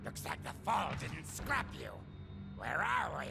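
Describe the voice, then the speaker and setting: An actor playing a brutish, impatient robot warrior in a video game, eager for violence. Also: male voice, a little high, very animated, measured pace, creaky, over loud music, full character voice. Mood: gruff.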